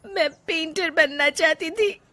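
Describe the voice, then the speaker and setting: A woman's voice: very high-pitched, measured pace, pushed and bright, pitched above the performer's own voice, exaggerated voice. A woman voicing a sad, resentful young daughter-in-law with a curt, aggrieved manner in a cartoon story. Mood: tearful, anguished.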